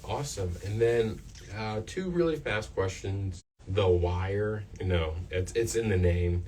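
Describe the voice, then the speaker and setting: Young man, very low voice, casual interviewer, halting phrasing, informal, slightly distant mic, light background noise. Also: dark tone. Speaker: student radio interviewer and host. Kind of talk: casual radio interview chat. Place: student radio studio.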